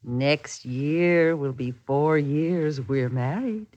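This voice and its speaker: An actress playing an affectionate, wistful colonial country wife in old-time radio drama, with quaint, theatrical phrasing. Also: female voice, deep voice, very slow, darkish tone, muffled recording, full character voice. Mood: fond, reminiscing. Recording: vintage radio drama recording.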